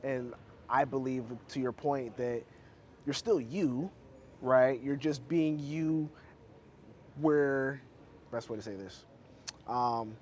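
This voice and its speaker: A man's voice: medium pitch, takes it slowly, pauses often, a little mellow, slight background noise. An American man interviewing casually as a podcast host, affirming and reflective as he feels his way through his phrasing.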